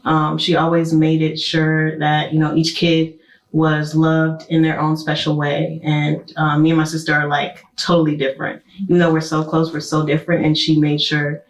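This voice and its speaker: A woman's voice: deep voice, completely flat delivery, normal speed, echoey room. A twenty-five-year-old woman speaking reflectively in a casual discussion, with frequent "like" and "you know".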